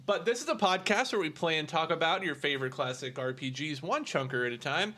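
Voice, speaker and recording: A man's voice: fairly high pitch, expressive, a little fast, bright, forceful tone. A man hosting a gaming podcast in a laid-back chatty way, laughing easily. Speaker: podcast host. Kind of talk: casual chat.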